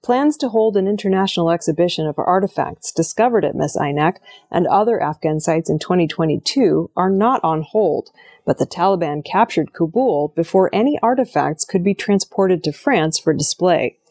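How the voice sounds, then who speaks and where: A woman's voice: lowish voice, animated, normal speed, darkish tone. A woman reading scripted news narration as a news presenter. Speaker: news reader.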